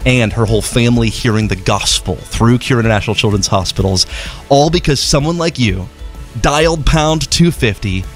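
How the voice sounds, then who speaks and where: A man's voice: medium pitch, normal speed, faint music behind. Passionate man raised in Zimbabwe. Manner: passionate, storytelling, repeats words. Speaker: charity representative on radio. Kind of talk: earnest appeal on radio.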